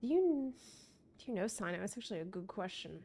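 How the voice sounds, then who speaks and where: A woman game streamer casually thinking aloud in a halting, puzzled way as she plays. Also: mid-range voice, big pitch swings, mellow. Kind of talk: casual live playthrough commentary and dialogue reading. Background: video game music.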